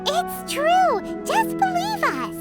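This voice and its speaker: Very high, childlike fairy companion. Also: female voice, huge pitch swings, takes it slowly, exaggerated voice. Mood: insistent. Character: small childlike cheerful fairy companion, chirpy and cheeky. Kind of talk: video game voice acting. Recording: background music over the voice.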